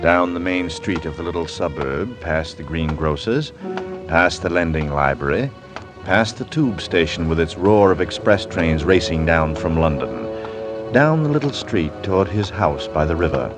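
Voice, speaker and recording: Suspense narrator, 1940s radio. Very low, solemn, scripted, over a clear musical score. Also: male voice, expressive, moderate pace, over music. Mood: brooding. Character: solemn male storyteller of a suspense tale. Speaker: story narrator. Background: loud musical underscore.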